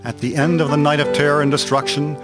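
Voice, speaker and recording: A man's voice: fairly high pitch, flat delivery, fast talker. A man reading scripted narration for a historical radio drama. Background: instrumental music.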